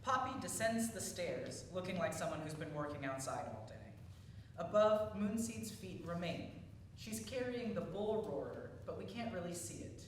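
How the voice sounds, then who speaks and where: Casting: female stage narrator, lower register, scripted delivery; echoey and noisy distant recording. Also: expressive, normal speed. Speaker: narrator reading stage directions. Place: indoor theatre.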